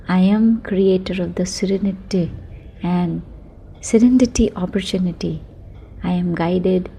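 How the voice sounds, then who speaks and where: A woman with a soft, mellow tone leads a guided sleep meditation. She speaks very slowly and soothingly, leaving long pauses between short affirming phrases. A faint ambience sits behind her voice. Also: fairly low pitch, slight background noise.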